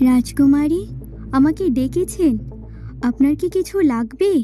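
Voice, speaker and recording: A deferential cartoon palace maid voiced by a woman speaks respectfully and soothingly. Her voice is high and mellow, apologetic in manner, with a little music beneath. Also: animated, unhurried. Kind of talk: cartoon dialogue. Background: background music.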